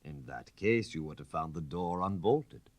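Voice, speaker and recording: A man's voice: deep voice, lively intonation, normal speed, no echo. A man voicing a methodical police commissary in a storybook reading, formal and pointed in his questioning. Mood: probing.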